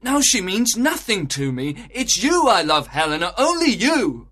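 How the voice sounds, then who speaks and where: A man acts out a besotted young lover in a storybook tale. The delivery is ardent and emphatic, with very wide swings of pitch. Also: sing-song, measured pace, bright, forceful tone, heavily acted. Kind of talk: dramatized storybook reading.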